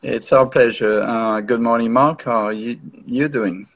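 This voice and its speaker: A man's voice: mid-range voice, steady tone, unhurried, a bit bright, no echo. A man hosting an interview show, asking plain, courteous questions. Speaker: podcast host. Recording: dry, dull lo-fi sound.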